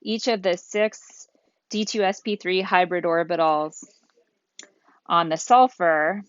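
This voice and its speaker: A woman with a lowish voice lectures at a very unhurried pace. She explains things methodically, one step at a time, as if working at a board. The sound is dry and slightly dull. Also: smooth, no echo. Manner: methodical, deliberate, step-by-step phrasing. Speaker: chemistry lecturer. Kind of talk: explanatory lecture, thinking aloud while drawing.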